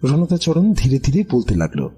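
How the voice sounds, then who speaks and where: A grave, watchful tantric priest tells a horror story. His voice is mid-pitched and very husky, with a deliberate, brooding delivery. Music plays underneath. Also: huge pitch swings, dark tone, full character voice. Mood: grave, foreboding. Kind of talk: dramatic first-person horror narration.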